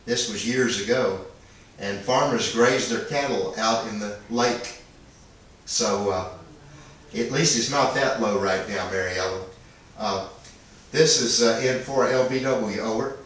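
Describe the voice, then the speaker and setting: A man chatting casually as an amateur radio operator in a long, halting, unhurried monologue. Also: fairly bright tone. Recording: echoey, distant, faint noise and rumble.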